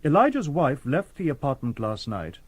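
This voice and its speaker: An actor playing a calm, logical, polite humanlike robot in a radio drama, speaking formally and precisely without getting ruffled. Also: male voice, medium pitch, normal speed, no room sound. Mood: calm, factual.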